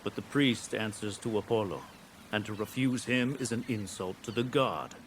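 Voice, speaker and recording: An actor playing an earnest Greek counselor to a king in a video game, measured and persuasive. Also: lowish voice, a little hiss or hum, lightly acted. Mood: urging. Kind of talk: dramatic video game cutscene acting.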